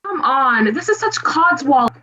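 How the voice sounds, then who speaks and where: Casting: outraged, pompous traditionalist played by a woman, highish pitch, comic play reading, mildly muffled sound. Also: very animated, moderate pace, pushed and bright, full character voice. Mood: indignant. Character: indignant, stuffy purist defending a beloved classic story. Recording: slightly dull, lo-fi sound.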